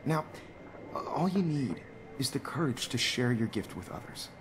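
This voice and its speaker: An actor plays an American photography teacher in a video game. He is a charming, smooth-talking man who lectures gently and encouragingly, with a very animated rise and fall in his voice. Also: mid-range voice, normal speed, noisy background, close to an everyday voice.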